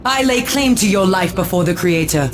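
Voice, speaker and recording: A woman's voice: mid-range voice, lively intonation, normal speed, close to an everyday voice. A woman performs as a righteous angel champion in a game cutscene. She is proud and commanding, declaiming formally over faint music. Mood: proud, challenging. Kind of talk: dramatic video game cutscene acting.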